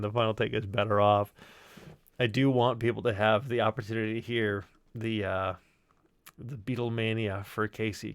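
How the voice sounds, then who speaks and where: A man giving a casual technical walkthrough as a sound designer on a livestream, thinking aloud haltingly and swearing now and then. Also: on the low side, dry recording. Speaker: sound designer presenting work.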